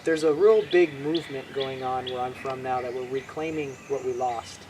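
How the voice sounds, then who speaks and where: A man's voice: medium pitch, moderate pace. A man from Hawaii talks about his craft in a loose, meandering way. He restarts often and sounds at ease. The recording carries a faint outdoor hum of birds and nature. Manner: relaxed, rambling with many restarts. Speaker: bushcraft instructor, guest. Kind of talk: casual interview chat.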